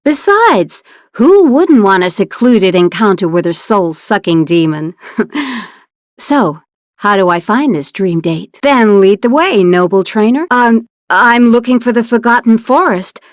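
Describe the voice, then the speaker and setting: A woman's voice: mid-range voice, animated, measured pace, dry recording, close to an everyday voice. A woman voicing a headstrong young elf princess warrior in a game, talking in a defiant, resolute way with dry quips. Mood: determined. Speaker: voice actor. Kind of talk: game character voice acting.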